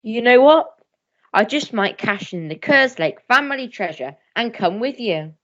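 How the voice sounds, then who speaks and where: A woman's voice: a little high, expressive, measured pace, a bit bright. Woman as pompous, jolly old-school major, dull recording. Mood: hearty. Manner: pompous, hearty. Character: jolly, blustering upper-class major, heroine's father. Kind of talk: melodramatic radio play acting.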